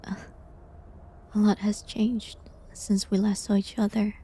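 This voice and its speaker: A woman playing a gentle, sorrowful village herbalist condemned as a witch, speaking in a hushed, halting way in a dramatic audio roleplay. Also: medium pitch, little pitch movement. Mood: tender, relieved. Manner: hushed, breathy, halting delivery.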